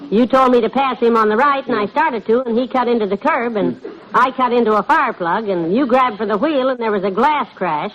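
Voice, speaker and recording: A woman's voice: fairly high pitch, a little fast, lo-fi sound, close to an everyday voice. An actress playing a patient wife who needles her husband dryly in an old radio comedy. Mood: dry teasing. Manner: dry, gently needling. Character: patient, dryly teasing wife, middle-aged woman. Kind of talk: 1950s radio comedy acting. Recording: old noisy radio recording, studio audience.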